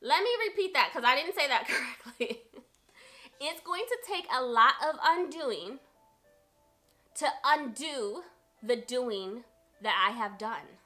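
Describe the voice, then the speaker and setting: Twenties woman vlogger, bright and slightly high, earnest to camera over faint music. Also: animated, measured pace, pauses often. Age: 20s. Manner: earnest, repeats herself for emphasis. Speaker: budgeting vlogger. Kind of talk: casual talk to camera.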